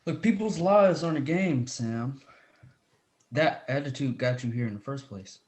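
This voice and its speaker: A man playing a dismissive professor in his sixties, measured and condescending, in a dramatic reading. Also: medium pitch, darkish tone, lightly acted. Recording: roomy, echoing sound.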